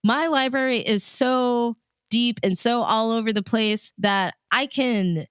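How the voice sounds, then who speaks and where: A woman's voice: fairly high pitch, takes it slowly, clean tone, dry recording, studio quality. A woman speaking as a radio DJ being interviewed, telling stories in casual, conversational chat. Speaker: radio DJ and show host, interview guest.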